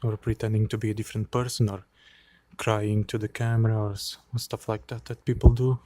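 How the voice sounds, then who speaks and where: Low, flat-voiced man shivering in the cold. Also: no echo. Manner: hesitant, quiet and flat, with many fillers and long pauses. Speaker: vlogger. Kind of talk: unedited late-night phone vlog. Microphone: phone held by hand.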